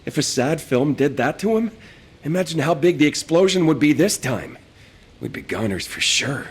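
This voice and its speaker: A man's voice: fairly high pitch, very animated, normal speed, breathy edge. An actor playing a scheming, wisecracking young male fighter in a video game cutscene. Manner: joking, scheming.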